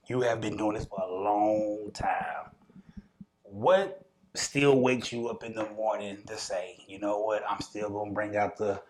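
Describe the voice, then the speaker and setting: A man's voice: fairly low pitch, slight background noise, low-quality recording. An American man hosting a casual interview show, asking questions in an informal, slangy, conversational way.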